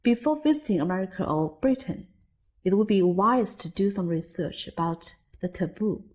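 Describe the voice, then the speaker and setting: A woman's voice: medium pitch, lively intonation, normal speed, breathy edge, muffled recording. A Chinese woman with a Chinese accent, an English teacher, narrating a scripted lesson and carefully stressing example words. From China.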